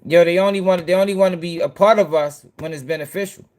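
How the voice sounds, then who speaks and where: A man's voice: sits high, steady tone, fast talker, darkish tone. A man hosting casual reaction commentary, talking bluntly and with profanity straight to his viewers. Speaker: commentary channel host.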